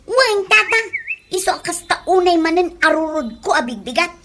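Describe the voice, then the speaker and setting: Through loud noise comes a woman playing a very high-voiced, quarrelsome Ilocano village gossip. She is thin-skinned and confrontational, swinging into whiny, indignant exclamations in broad radio comedy style. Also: animated, normal speed. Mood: indignant complaining. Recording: noisy home-made radio drama recording.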